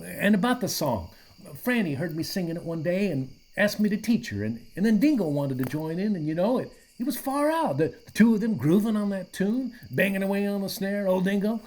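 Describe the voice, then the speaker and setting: Man playing a husky, laid-back aging hippie. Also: sits high, lively intonation, a little fast, a little mellow, poor audio. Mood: wistful, enthused. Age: middle-aged. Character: mellow, apologetic aging hippie man, lonely and kindhearted. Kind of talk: radio play acting.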